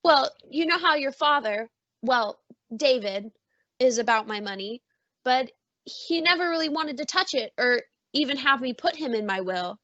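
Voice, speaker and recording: A fond, coaxing middle-aged mother as a woman acts her in an old-time radio play. Her voice is high and bright, with gentle persuasion, recorded dry and slightly dull. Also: expressive, moderate pace, no echo. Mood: earnest, coaxing.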